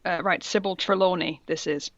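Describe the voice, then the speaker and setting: A woman's voice: lowish voice, steady tone, moderate pace, no room sound, dull audio. A woman co-hosting a comic reading of fan fiction, narrating mock-seriously and giggly, with asides. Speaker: co-host.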